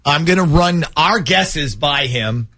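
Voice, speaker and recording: Morning-show host, male, jokey and talkative, animated delivery on the high side. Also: slow, no echo. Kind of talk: radio talk banter and prank call.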